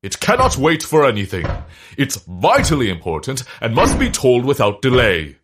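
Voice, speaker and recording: A blustering, grandiose gentleman scientist, exasperated and wildly swooping in pitch. It is comic period radio drama, with loud noise and clicks behind him. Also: wildly swooping pitch, moderate pace. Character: Hiram, blustering, excitable fish-loving gentleman scientist. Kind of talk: comedic period audio drama acting.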